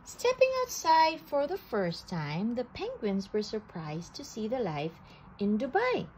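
A woman reads a children's picture book aloud at a table indoors. Her fairly high voice swings widely in pitch. She takes her time over every line, with a faint hiss behind her. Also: rough recording. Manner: slow, animated storytelling with occasional restarts. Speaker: storybook reader.

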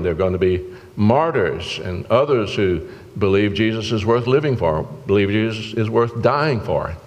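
An older man preaching a sermon from a church pulpit, in an earnest, folksy way. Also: fairly low pitch. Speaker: pastor. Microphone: pulpit microphone. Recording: long church-hall reverb.

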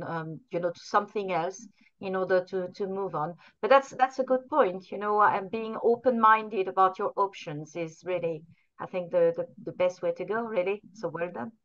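A woman on a video call, giving encouraging, thoughtful remarks in a slightly halting way. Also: mid-range voice. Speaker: participant. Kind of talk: casual video-call conversation. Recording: dull lo-fi video call audio.

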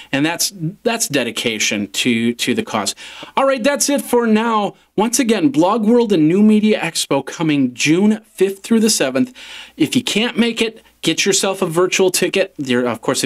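A middle-aged man presenting to camera as a show host, giving announcements indoors. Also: mid-range voice. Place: indoor room set with monitor.

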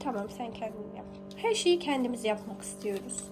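A young mother with a high-pitched voice chats casually to her small child. She coaxes and praises with affectionate pet names, often repeating her phrases. Soft music plays underneath her voice. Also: expressive, a little fast. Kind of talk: casual coaxing talk to a small child while baking.

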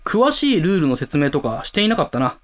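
Man acting a pompous, animated club president. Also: on the high side. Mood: insistent. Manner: pompous, dramatic pauses. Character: eccentric, theatrical male high school club president. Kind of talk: amateur voice drama acting. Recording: music under the voice.

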